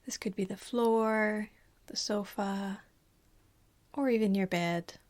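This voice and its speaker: A woman meditation teacher leading a guided body scan in a calm, soothing, unhurried way, with a soft, breathy voice that often trails toward a whisper. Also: mid-range voice.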